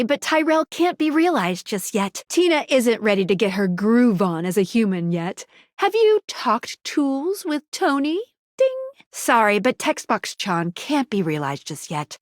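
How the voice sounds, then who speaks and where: High-pitched, perky game guide girl. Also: dry recording, clean studio sound. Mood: helpful, apologetic. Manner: chirpy, teasing, expressive. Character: perky, cheeky female dating-game guide, helpful and playful. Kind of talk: video game voice lines.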